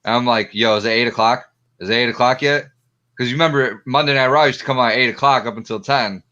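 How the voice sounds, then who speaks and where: A man's voice: medium pitch, fast talker, bright, forceful tone. A man in his twenties chatting casually and enthusiastically as a podcast panelist, with slang and swearing. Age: 20s. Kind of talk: casual storytelling chat. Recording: dull lo-fi sound.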